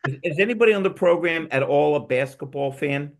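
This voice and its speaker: A man's voice: a little high, expressive, fairly quick. A man hosting a casual panel chat over a remote call, telling stories with enthusiasm and many restarts. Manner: rambling, enthusiastic storyteller with restarts. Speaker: podcast host.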